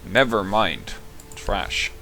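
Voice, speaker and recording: A man who grumbles sarcastically through his pack openings and then jumps into sudden excited shouts. His pitch swings widely, and his tone stays bright and tense. Faint music plays underneath. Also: extremely slow, vocal fry. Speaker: gaming content creator. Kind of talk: casual commentary while opening game packs.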